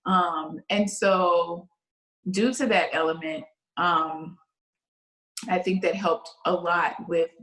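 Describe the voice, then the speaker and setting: A woman in her late thirties, chatting casually as a podcast guest, telling stories in an expressive, animated way. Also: on the low side, slow, pauses often, reverberant, low-quality recording. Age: 30s. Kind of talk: casual chat.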